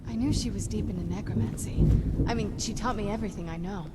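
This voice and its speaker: A woman voicing a shrewd, composed young female vampire necromancer in a video game, speaking in a measured, dry, musing way. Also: mid-range voice, heavy background noise, lightly acted. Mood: musing, intrigued. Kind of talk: video game character dialogue.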